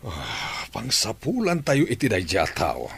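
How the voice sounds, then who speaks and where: Low, expressive, concerned father. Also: measured pace, vocal fry, breathy edge, dry recording, heavily acted. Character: concerned, tender middle-aged father. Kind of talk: Ilocano radio drama acting.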